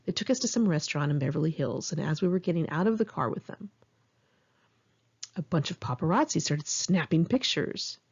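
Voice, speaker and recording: A woman's voice: low voice, animated, fairly quick, creaky, a little mellow, slightly rough, lo-fi sound. Wry woman reading her own memoir. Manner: candid, swearing, wry storytelling. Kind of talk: one-take memoir audiobook reading. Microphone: home microphone.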